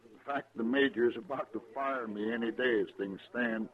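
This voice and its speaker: Casting: male actor as colloquial American fort storekeeper, mid-pitched, put-upon, on a very tinny vintage radio recording. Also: moderate pace, close to an everyday voice. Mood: grumbling. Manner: folksy, plain, colloquial. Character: folksy, aggrieved army storekeeper, married working man. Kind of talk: 1950s radio drama acting.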